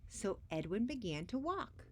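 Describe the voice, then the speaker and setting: A woman reading a picture book aloud to children expressively, with dramatic pauses and emphasis on key words. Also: mid-range voice, very animated, noisy background. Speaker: children's story reader.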